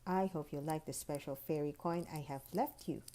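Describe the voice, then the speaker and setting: A woman's voice: low-pitched, moderate pace, mellow, pitched below the performer's own voice, lightly acted. A woman reading the letters of a courteous, formal tooth fairy in a proper storybook manner. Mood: grateful, approving.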